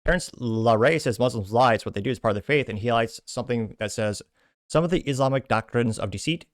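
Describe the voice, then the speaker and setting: A man's voice: medium pitch, fast, no room sound, clean studio sound. A man hosting a commentary show, casually reading comments aloud with rambling asides and self-corrections. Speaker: host.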